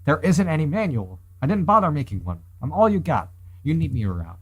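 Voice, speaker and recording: A man's voice: a little high, very animated, a little fast, a bit bright, slightly rough, exaggerated voice. A man voicing a wary, nerdy hostage inventor who argues dryly in a visual novel. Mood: defensive. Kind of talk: reading visual novel dialogue and inner thoughts.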